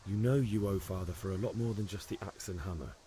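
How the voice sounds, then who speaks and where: An actor playing a confident, cheeky young blacksmith's son in a video game. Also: male voice, low voice, quick pace, warm tone, slight background noise, natural voice. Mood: firm. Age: young adult.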